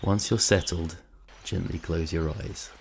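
A man narrating a scripted guided meditation in a calm, soothing, measured way, with long pauses. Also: low voice, creaky, slight background noise.

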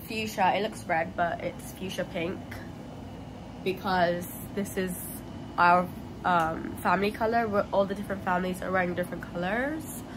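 A woman's voice: on the low side, fairly even intonation, normal speed. Young woman vlogging over noticeable background noise. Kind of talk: casual vlog chat.

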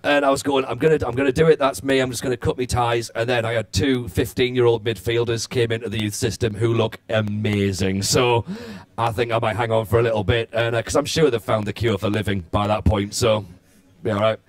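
A man's voice: medium pitch, level tone, fairly quick. A man in his thirties, a comedian on a stage panel, telling a long comic story into a handheld mic with frequent restarts and fillers. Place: stage before a live audience. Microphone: handheld microphone.

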